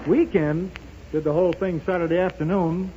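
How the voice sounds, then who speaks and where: A man with a high voice does scripted comic banter as a 1930s radio sidekick. The recording is dull, with clear noise. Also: steady tone, moderate pace. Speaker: musical director, comic sidekick.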